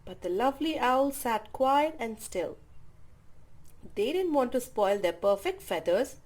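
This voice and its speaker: Female children's storyteller. High, very lively pitch, unhurried delivery, dramatic emphasis for little listeners. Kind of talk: expressive read-aloud of a picture book.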